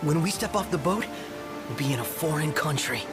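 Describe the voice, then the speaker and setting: An actor playing an excitable, chatty young man in a video game, eager and informal. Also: fairly high pitch, fairly quick, lightly acted. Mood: awed, excited. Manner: eager, informal, wide-eyed. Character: boyish, excitable, chatty young travelling companion. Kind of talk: video game cutscene acting. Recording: voice over loud game music.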